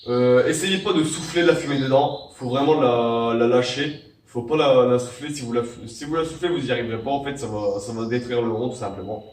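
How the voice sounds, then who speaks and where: A man's voice: mid-range voice, fairly quick, few pauses. Young man with a cold, echoey room. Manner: rambling, many fillers and restarts. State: has a cold. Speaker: vape tricks tutorial host. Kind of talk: casual how-to tutorial. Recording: echoey room, mic at a distance.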